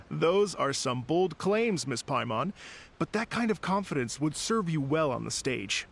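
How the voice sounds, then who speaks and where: A man's voice: on the high side, very animated, unhurried, gravelly, heavily acted. A man playing an earnest, courteous and slightly hesitant festival organizer in a video game. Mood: amiable. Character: earnest polite gentleman music festival organizer.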